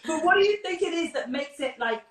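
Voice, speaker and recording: A woman's voice: very high-pitched, animated, reverberant, distant mic. An English woman, a makeup artist, chatting effusively over a video call. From England. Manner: gushing, effusive, quick to agree. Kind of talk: casual chat.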